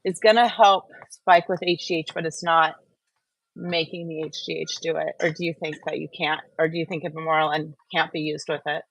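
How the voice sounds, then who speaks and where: A woman's voice: low voice, fairly even intonation, brisk, creaky, fairly bright tone, rough recording. A woman hosting a show in a casual, conversational chat style. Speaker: show host.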